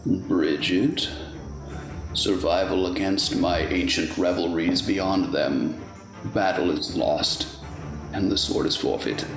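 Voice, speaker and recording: Man acting menacing fey king, radio play villain, slow and measured, roomy echo, haughty, formal, gravelly. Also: deep voice, steady tone, over music. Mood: scornful command. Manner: slow, disdainful, formal theatrical delivery.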